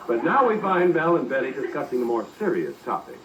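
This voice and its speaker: A man's voice: medium pitch, huge pitch swings, fairly quick, fairly bright tone, slight background noise. A man announcing and narrating a 1940s radio show from a script with showy, emphatic delivery. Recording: muffled old radio recording with music.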